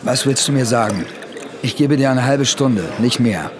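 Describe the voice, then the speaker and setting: An actor playing a wary police lieutenant in a radio drama, curt and distrustful. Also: male voice, mid-range voice, moderate pace, crowd noise, natural voice. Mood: impatient.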